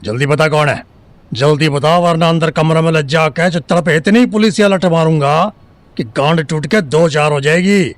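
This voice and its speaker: A man acting a crude, threatening police sub-inspector in an audio drama, with a gruff manner and a Haryanvi accent. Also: a little high, normal speed, dry recording, natural voice. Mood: suspicious, threatening. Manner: gruff, menacing interrogator, swearing freely. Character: crude bullying male Indian police sub-inspector, threatening and foul-mouthed.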